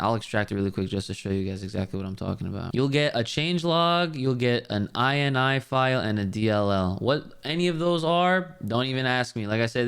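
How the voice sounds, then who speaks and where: A man's voice: medium pitch, clean tone. A man giving a casual screen-share gaming tutorial, explaining things step by step in an informal, rambling way.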